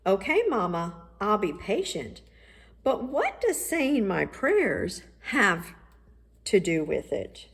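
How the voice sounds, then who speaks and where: A woman's voice: medium pitch, sing-song, takes it slowly. Lady doing an eager little kid from a picture book, earnest n questioning, really up and down. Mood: puzzled, obedient. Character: eager, earnest young boy longing to grow taller. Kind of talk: children's storybook read-aloud.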